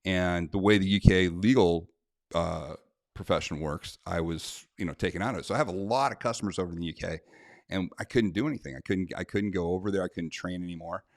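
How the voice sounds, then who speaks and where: Brief American man, deep voice, indignant straight talk, close dry mic. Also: brisk, no echo. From United States. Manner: blunt, indignant, conversational with many fillers. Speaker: self-defense instructor. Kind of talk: casual talk to camera, reading a letter aloud.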